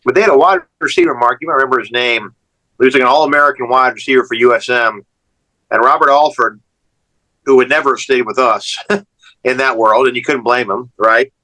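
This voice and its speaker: A man's voice: mid-range voice, a little fast, bright, forceful tone, poor audio. A man chatting casually and conversationally as a panelist on a sports radio show. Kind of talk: casual sports reminiscing and chat.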